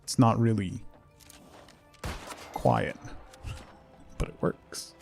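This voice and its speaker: Male game streamer, casual and playful, unhurried delivery with gravelly fry and faint music behind. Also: on the low side, big pitch swings, darkish tone. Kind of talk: casual live game commentary, chatting to viewers.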